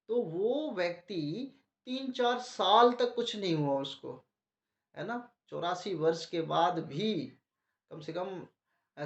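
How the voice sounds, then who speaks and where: A man with a sore throat giving a devotional talk to a gathering, asking the audience questions and answering them himself. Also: a little high. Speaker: preacher. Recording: muffled, echoey room.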